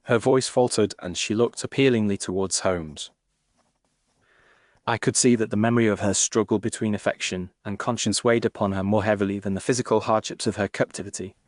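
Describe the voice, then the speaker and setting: A British man narrating a Victorian detective story in a formal, measured, literary manner. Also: on the low side, steady tone, no room sound, clean studio sound.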